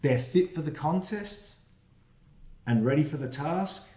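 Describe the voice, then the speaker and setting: A man, the book's author, reading his rhyming picture book aloud for children, with quiet asides about the pictures. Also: on the high side, lively intonation, unhurried. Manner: friendly, reads rhyming verse with quiet asides. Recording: roomy echo.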